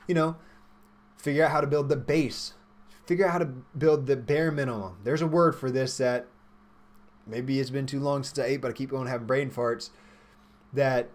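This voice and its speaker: Casual rambling young man answering stream questions. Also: medium pitch. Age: 20s. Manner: informal, rambling, thinks aloud. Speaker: livestream host, software developer. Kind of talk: casual livestream Q&A chat, reading and answering viewer questions.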